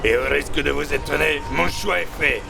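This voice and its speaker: An actor playing a bold, brash male warrior with a gravelly voice in a fantasy audio drama. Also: fairly high pitch, brisk. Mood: defiant. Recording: loud music over the voice. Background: loud music.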